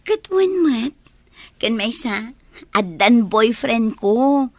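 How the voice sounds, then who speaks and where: A woman's voice: fairly high pitch, sing-song, slow pace, darkish tone, no echo, full character voice. A woman with an Ilocano accent playing a cheerful, hospitable young village woman in a radio comedy-drama. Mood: coy, happy.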